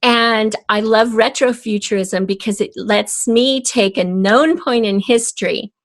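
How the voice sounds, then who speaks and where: A woman's voice: on the high side, unhurried, no echo, studio quality. A woman talking as a guest in a conversational interview, explaining her ideas thoughtfully. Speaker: podcast guest, audio drama writer.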